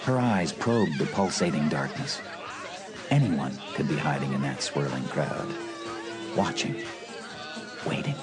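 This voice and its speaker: A man's voice: very deep voice, animated, measured pace, pauses often. A man narrating a suspenseful story as scripted audiobook narration. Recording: music and crowd behind narration.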